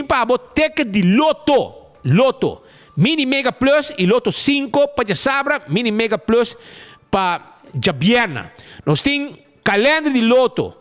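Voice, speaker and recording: A man hosting a live show, with a high voice that leaps all over the place. He talks in casual, animated bursts and trips over his words with repeated restarts. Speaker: show host.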